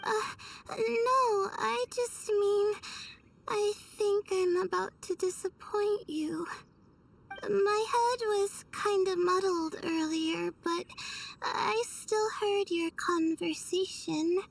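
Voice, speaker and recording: Game role: exhausted, meek young woman, hesitant and apologetic, crackly voice dragging very slowly, faintly noisy. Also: very high voice, vocal fry, recorded from a distance, heavily acted. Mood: muddled, apologetic. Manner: hesitant, halting, many murmured pauses. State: exhausted, fragile. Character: drowsy, timid, apologetic young woman scholar.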